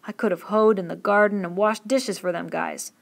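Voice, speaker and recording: A woman voicing an old crippled ranch hand who pleads in a rural drawl, his voice climbing high. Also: fairly even intonation, moderate pace, gravelly, close to an everyday voice. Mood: sorrowful.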